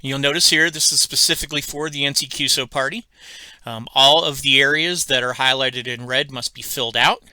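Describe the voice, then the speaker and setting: A man giving an unscripted, step-by-step software tutorial in a halting, methodical, instructional way. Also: medium pitch, fairly even intonation, no echo. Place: indoors at a computer, screen-recording voice-over.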